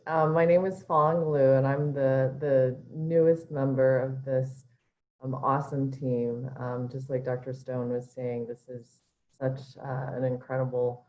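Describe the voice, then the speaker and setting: Woman from Alabama introducing herself slowly over a dull video call. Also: rumbling low, darkish tone. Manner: friendly, many ums, upbeat. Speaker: gynecologic oncologist.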